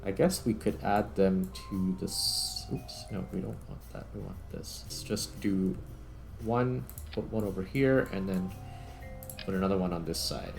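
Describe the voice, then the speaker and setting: A man's voice: low-pitched, unhurried, creaky, faint music behind. A man giving casual let's-play commentary as a gaming video host, thinking aloud in an informal way.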